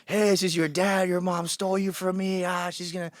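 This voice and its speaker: A man's voice: sits high, flat delivery, fairly quick, dry recording. Blunt joking man, dry close mic. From Colombia. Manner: blunt, joking, swings his voice acting out quoted people. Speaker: podcast guest. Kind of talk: casual storytelling.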